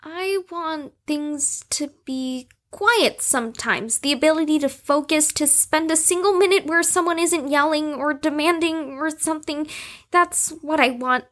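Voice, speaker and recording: Fragile, worn-out young female patient for audio drama, played by a woman: very high, bright, pleading. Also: unhurried. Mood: weary, subdued. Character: fragile, exhausted, self-hating young female group-therapy patient.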